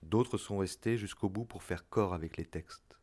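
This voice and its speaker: A man with a low voice delivers scripted narration in the role of a writer. His pitch sits well below most male voices. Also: moderate pace, darkish tone. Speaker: writer and workshop leader narrating.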